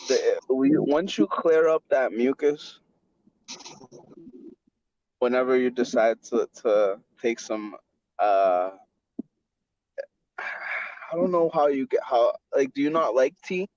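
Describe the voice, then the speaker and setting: Rambling, stammering podcast host. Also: male voice, mid-range voice, animated, slow, pauses often, a little mellow, no room sound. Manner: rambling, stammering restarts, enthusiastic and profane. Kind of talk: casual chat. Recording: dull dry lo-fi recording.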